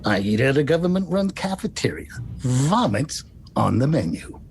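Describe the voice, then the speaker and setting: A man's voice: big pitch swings, normal speed. A man playing a cranky, stubborn eighty-two-year-old patient who grumbles and wisecracks in a screenplay reading. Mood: grumbling. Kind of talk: screenplay table read. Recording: audible low rumbling noise.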